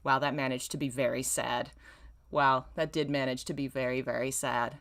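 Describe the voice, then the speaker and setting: A woman in her thirties with a low-pitched voice reads fiction aloud on a stream. She leans theatrically on the descriptive words, then drops into wry, self-mocking commentary. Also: steady tone, moderate pace, a bit bright. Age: 30s. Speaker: streamer reading own old fan fiction. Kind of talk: live story reading with wry asides.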